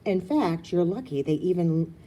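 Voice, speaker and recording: A woman voicing a firm, exasperated mother who scolds with drawn-out emphasis in a storybook reading. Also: on the low side, lively intonation, warm tone.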